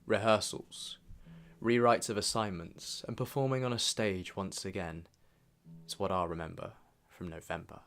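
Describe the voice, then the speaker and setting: A man's voice: deep voice, fairly even intonation, moderate pace, a bit bright, soft background music. A young British man reading a scripted, reflective voice-over narration as a video creator. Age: young adult. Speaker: video creator narrating own year review.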